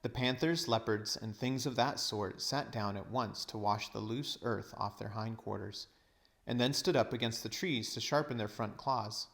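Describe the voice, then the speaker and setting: A man reading a storybook aloud as narrator, with expressive, deliberate phrasing. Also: fairly low pitch, gravelly.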